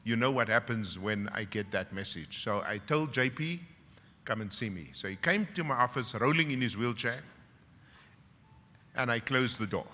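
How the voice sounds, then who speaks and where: Bright-voiced South African professor in a reverberant hall. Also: male voice, mid-range voice, animated, normal speed. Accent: South African English. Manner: dramatic storyteller, swings from loud punchlines to hushed emotion, wry humor. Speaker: university professor speaking at a graduation. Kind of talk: ceremonial speech with personal stories. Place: large graduation hall. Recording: long hall reverb from a ceremony PA.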